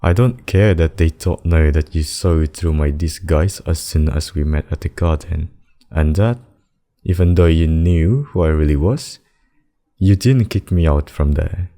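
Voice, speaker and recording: A demon king, acted by a man, with an extremely low, mellow voice. He speaks slowly and formally in an intimate ASMR roleplay, doting on a lover with protective tenderness. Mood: tender, reassuring. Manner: slow, formal, doting speech with endearments.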